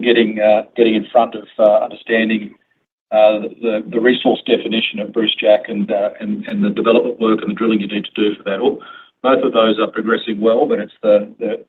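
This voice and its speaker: A man speaking as a company executive answering questions on an earnings call, in a halting way with repeated words. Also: lowish voice. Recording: phone-like conference line, clear noise.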